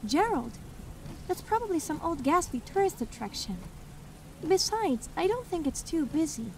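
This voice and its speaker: A woman's voice: very high-pitched, sing-song, normal speed, darkish tone, full character voice. A woman playing a tired, exasperated pregnant wife in her thirties, scolding and insistent in an audio drama. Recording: slightly distant mic, faint rain noise.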